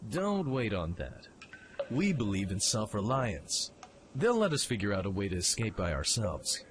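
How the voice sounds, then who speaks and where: A man's voice: on the low side, wildly swooping pitch, moderate pace, a little hiss or hum. A man playing a cocky, self-reliant young mech pilot in a radio play, with a brash, swaggering delivery. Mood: breezy, confident.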